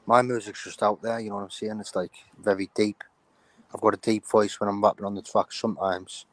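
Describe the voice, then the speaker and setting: A young rapper from the United Kingdom answering interview questions over a video call, mumbling with lots of "you know what I'm saying". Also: male voice, fairly low pitch, little pitch movement, brisk. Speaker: rapper guest.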